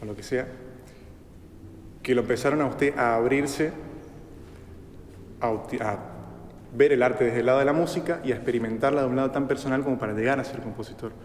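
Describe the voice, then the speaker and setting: A man's voice: medium pitch, fairly quick, pauses often. A man interviewing a guest, asking long polite questions full of fillers. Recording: echoey room with hall reverb, faint noise.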